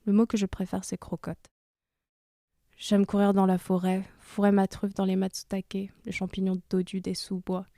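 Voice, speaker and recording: A woman voicing a calm, earthy female wolf-dog hybrid in a podcast drama, speaking in a measured, intimate way. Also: mid-range voice, gravelly, no echo, clean studio sound, natural voice. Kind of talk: podcast fiction monologue.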